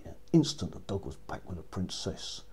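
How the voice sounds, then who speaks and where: A man narrating a children's story as a storyteller, with expressive, dramatic delivery. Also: low-pitched, a little fast, breathy edge.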